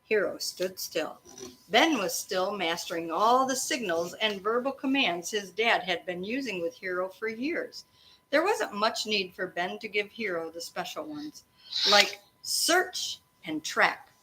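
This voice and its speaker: Lady teacher doing an expressive kids read-aloud, stops to ask stuff, bit of background noise. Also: medium pitch, rough recording. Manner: expressive, pausing to explain and prompt listeners. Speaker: teacher reading aloud to children. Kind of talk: interactive children's read-aloud of a storybook, with questions and asides.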